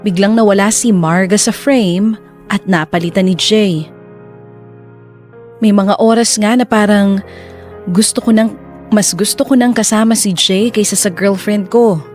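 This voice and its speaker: A woman's voice: mid-range voice, a little mellow, natural voice. A woman reading a confused lover's confessional letter as scripted first-person narration in a radio drama. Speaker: letter reader. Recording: music bed under the voice.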